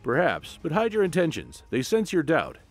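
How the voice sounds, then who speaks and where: A man voicing a wary elderly royal father who counsels gravely and in measured phrases, for a comic-book retelling. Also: fairly high pitch, wildly swooping pitch, soft background music, voice pushed up. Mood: cautioning.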